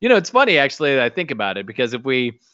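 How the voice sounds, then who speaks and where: A man's voice: medium pitch, big pitch swings, racing, sharp tone, no echo. Man recapping in a dry dull mix. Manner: summarizes scenes and acts out quoted lines. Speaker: podcast co-host recapping an episode. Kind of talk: casual recap chat.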